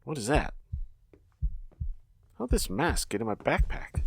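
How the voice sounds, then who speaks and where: A man's voice: medium pitch, sing-song, measured pace, creaky, breathy edge, heavily acted. A man playing a scrappy teenage son in an audio drama, cocky yet earnest, talking in an eager, defensive, boyish way. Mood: grumbling.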